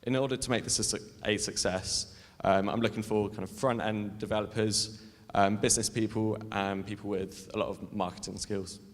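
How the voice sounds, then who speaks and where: Low-voiced student developer pitching. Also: male voice, steady tone, moderate pace. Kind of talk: short startup pitch. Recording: long hall reverb.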